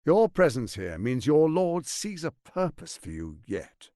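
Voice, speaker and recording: A man's voice: a little high, very animated, measured pace, mellow, no echo, studio quality. A man voicing a suave, scheming, arrogant archduke in a fantasy video game, silky, grandiose and condescending in his theatrical delivery. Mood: smooth, commanding.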